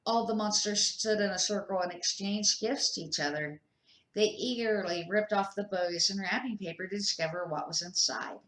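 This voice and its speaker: An older woman reads a children's storybook aloud. She is a grandmother with an expressive, unpolished delivery and a medium-pitched voice. The room around her is clearly echoey. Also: normal speed.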